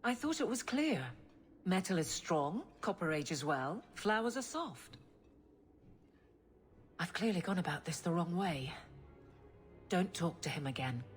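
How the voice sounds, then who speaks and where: An actress playing a brisk, earnest but awkward guard captain in a fantasy video game, clipped and self-conscious. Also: female voice, lowish voice, big pitch swings. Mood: brisk, insistent. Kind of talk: scripted game cutscene acting.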